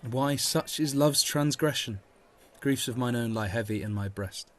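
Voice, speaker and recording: Melancholy young Romeo, medium-pitched, played by a man. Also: a little slow, lightly acted. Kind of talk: Shakespearean audio drama acting.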